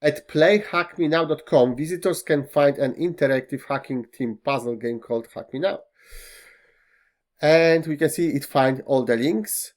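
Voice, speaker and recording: A man whose first language is Polish walks through a web browser's features in a screen-recorded tutorial, pausing often with fillers and slightly non-native phrasing. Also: a little high. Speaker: tech tutorial host. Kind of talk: screen-recorded software walkthrough.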